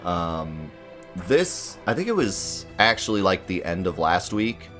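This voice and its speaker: A man's voice: deep voice, very animated, slow pace, a bit bright, soft background music. Congested man rambling about games. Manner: hesitant, rambling, lots of fillers, gripes. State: congested, a little nasal from a cold. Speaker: let's play host. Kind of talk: casual gaming commentary to viewers.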